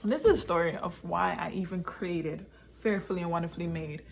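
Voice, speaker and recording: A woman's voice: fairly low pitch, brisk, gravelly, loud music behind the voice. Emphatic storytelling woman. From born in Jamaica, raised in England and the United States. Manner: emphatic, repeats phrases, slips into Jamaican Patois. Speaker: vlogger and author telling her own story. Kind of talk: personal storytime, casual and confiding.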